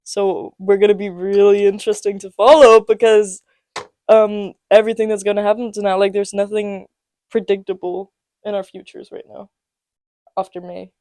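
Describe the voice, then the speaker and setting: A man's voice: high voice, level tone, normal speed, a little mellow, no room sound. A young man in his late teens, co-hosting a casual podcast from a student apartment, joking and teasing with lots of fillers. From England and Denmark. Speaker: podcast co-host. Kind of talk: casual chat.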